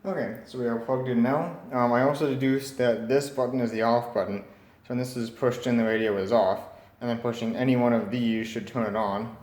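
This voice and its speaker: A man's voice: mid-range voice, fairly even intonation, fairly quick, a little mellow. A man casually narrating a hands-on repair, tentative and thinking aloud as he works. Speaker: hobbyist radio repairer presenting. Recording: roomy echo with faint background noise.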